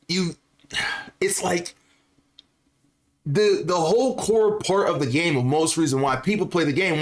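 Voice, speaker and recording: A man giving casual, opinionated gaming commentary to viewers, speaking in an informal, slangy way and repeating phrases for emphasis. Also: on the high side. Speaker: gaming YouTuber.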